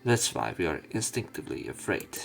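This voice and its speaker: A man's voice: low voice, big pitch swings, fairly quick, creaky, a bit bright. A man reading a visual novel script aloud as let's play narration, acting out the story. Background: faint game music.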